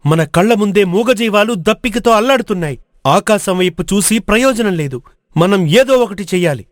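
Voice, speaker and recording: A man voicing a brave, determined village hero in an animated moral story, speaking in an earnest, declamatory way. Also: high-pitched, moderate pace, no echo, clean studio sound.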